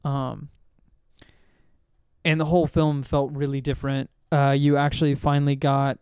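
A man's voice: medium pitch, little pitch movement, normal speed, darkish tone, smooth, dry recording. A man hosting a casual film discussion, rambling and often correcting himself as he recalls details. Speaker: podcast host.

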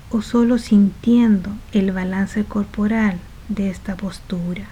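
A woman guides a meditation in a soft, relaxed, mellow voice. She speaks slowly, one short phrase at a time, with breathy, trailing endings. A faint noise and low rumble sit behind her. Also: rough recording.

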